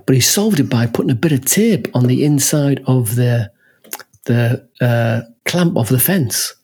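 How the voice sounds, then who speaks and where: A husky, slightly breathy man chatting casually as a podcast co-host. He laughs a lot and keeps checking in with a quick "huh?". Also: medium pitch, lively intonation, a little mellow. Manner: chatty, laughs often, tags lines with "huh?". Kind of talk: casual chat.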